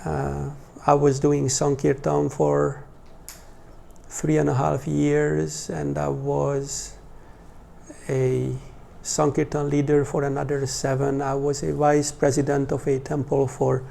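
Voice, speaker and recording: A man in his forties with a Hungarian accent speaks informally as a religious teacher to a small group. His delivery is slow and hesitant, with frequent pauses. The voice sits a little high for a man, with a gravelly creak and a mellow tone. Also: completely flat delivery. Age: 40s. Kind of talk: informal talk to a small class.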